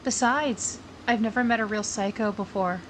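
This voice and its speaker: A woman's voice: medium pitch, moderate pace, slight background noise, lightly acted, low-quality recording. A woman playing a cool, teasing, self-assured schoolgirl in an audiobook drama. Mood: coy.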